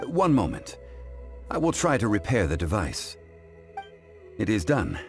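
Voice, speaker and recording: A very deep male voice for a wise, scholarly gentleman in a fantasy game. He is formal and unruffled, with courteous phrasing and a widely moving melody, over faint music. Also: wildly swooping pitch, unhurried, exaggerated voice. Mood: thoughtful. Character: composed, scholarly, dignified gentleman of ancient wisdom. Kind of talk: English game voice acting. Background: background music.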